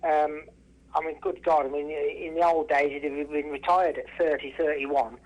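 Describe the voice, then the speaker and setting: A man's voice: on the high side, fairly even intonation, normal speed. A man from England giving a casual interview over the telephone, restarting often and repeating himself for emphasis. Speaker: racehorse trainer and former jockey, interview guest. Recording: telephone line, radio-style call.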